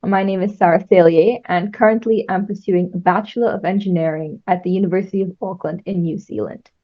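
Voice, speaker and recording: A woman's voice: on the low side, steady tone, a little fast. Young woman, lo-fi sound. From Trinidad. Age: young adult. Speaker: engineering student guest. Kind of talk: interview answers.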